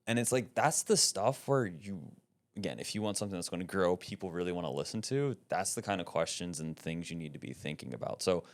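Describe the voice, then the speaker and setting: A man with a low-pitched voice speaks in a casual interview. His delivery is emphatic and animated, and he often tags his points with a checking question as he explains. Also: a little fast. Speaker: podcast network guest.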